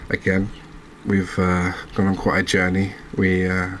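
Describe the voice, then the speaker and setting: A man giving soft-spoken, unhurried ASMR commentary as he plays a game, musing aloud to his viewers. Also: low-pitched, monotone, slight background noise. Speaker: game streamer, host.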